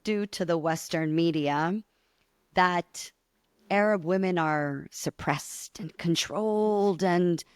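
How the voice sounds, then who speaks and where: A woman's voice: lowish voice, slow, smooth, no room sound. A woman interviewing a guest with brief, direct questions.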